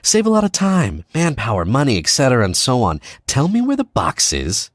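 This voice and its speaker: A man playing a cocky, needling American spy in an audio drama, casual and clipped, with quiet menace. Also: medium pitch, huge pitch swings, fairly quick, dry recording, studio quality. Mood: menacing.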